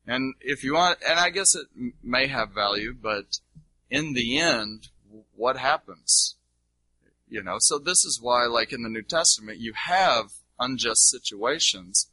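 Male teacher, very bright forceful voice, lowish pitch, dry close mic; informal, emphatic Q&A delivery with repetitions. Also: dry recording, rough recording. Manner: emphatic, repeats himself, checks listeners follow. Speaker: teacher taking audience questions. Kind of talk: informal question-and-answer teaching.

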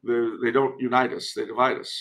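A man from the United States giving conversational commentary as a podcast guest, in a wry, measured, deliberate way. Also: mid-range voice, flat delivery, fairly bright tone.

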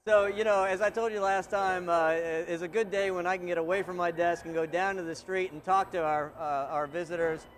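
High-voiced joking male host. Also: steady tone, a little hiss or hum. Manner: joking, quick asides. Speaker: host, company president. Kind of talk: casual interview and show chat.

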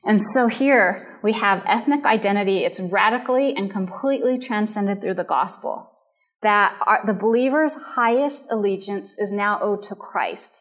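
A woman delivers a recorded teaching on counseling in an earnest, measured way. She explains each point step by step, at a middle pitch for a woman. Her background is Japanese and American. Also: fairly bright tone. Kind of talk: recorded lecture.

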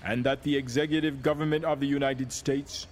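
A man's voice: mid-range voice, fairly even intonation, a little fast, lightly acted. A man playing a solemn, resolute American president delivering a proclamation in a stately, oratorical manner. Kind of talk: dramatic reading of a proclamation.

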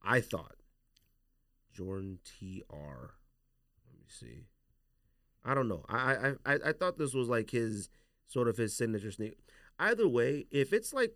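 Animated man talking slangy, close dry sound. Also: medium pitch, no room sound. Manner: slangy, opinionated. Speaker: podcast host. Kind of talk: casual sneaker podcast chat.

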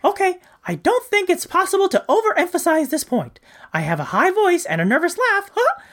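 A man putting on the voice of a squeaky, excitable male cartoon mouse with a high voice and a nervous laugh. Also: fairly quick, heavily acted. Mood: insistent. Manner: bouncy, eager, insistent.

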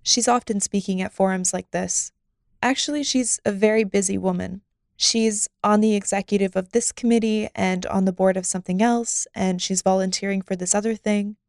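Female podcast narrator; measured, crisp, dry studio read. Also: mid-range voice, fairly even intonation, a little mellow, no room sound. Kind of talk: scripted narration.

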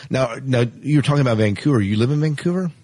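Husky-voiced man hosting an interview. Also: medium pitch, animated, quick pace, dark tone, no echo. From United States. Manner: chatty, laughs often. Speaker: podcast host. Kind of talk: casual interview.

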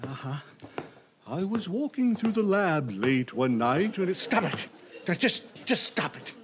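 Man playing a high-pitched, jittery office temp. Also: big pitch swings, a little slow, noisy background. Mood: uneasy, self-soothing. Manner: stammering, nervous, talks to himself. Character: jittery, imaginative male office temp, easily unnerved. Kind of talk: comedic audio drama acting.

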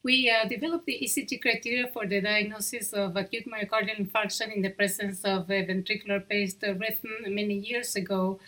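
A middle-aged woman from Argentina with an Argentine accent, giving a short prepared talk as a cardiologist, measured with frequent fillers. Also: medium pitch, steady tone, pushed and bright, low-quality recording. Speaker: cardiologist guest speaker.

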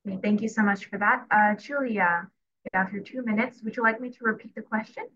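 A woman's voice: medium pitch, a little fast, a bit bright, dull audio. A woman moderating a candidates' debate, reading questions out to the speakers in turn.

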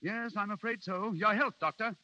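An actor playing a courteous, slightly hesitant gentleman husband in a 1930s radio play. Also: high voice, normal speed, lo-fi sound, slight background noise. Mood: polite, uneasy. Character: polite, uneasy gentleman husband caught between women. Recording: 1930s radio broadcast, crowd behind.

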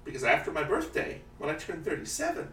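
A man in his thirties in casual chat, animated and laughing often, slipping into exaggerated quoted voices. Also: on the high side, fast talker. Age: 30s. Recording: roomy echo, distant mic, faint hiss.

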